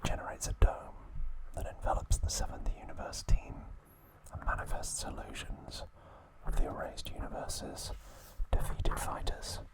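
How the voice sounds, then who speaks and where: A man narrating in a soft, unvoiced ASMR whisper, recapping a story with frequent hesitant pauses. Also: poor audio. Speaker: ASMR channel host.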